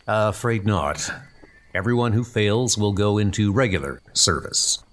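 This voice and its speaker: A steady British commander and mentor in a spy comic drama, acted out by a man. He advises in a fatherly, reassuring way, never rushing. His pitch moves expressively as he encourages.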